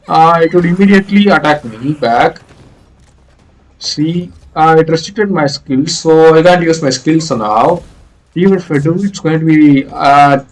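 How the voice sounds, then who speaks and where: A man giving explanatory video game playthrough commentary in a halting, hesitant way. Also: a little high, low-quality recording. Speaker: gaming video creator.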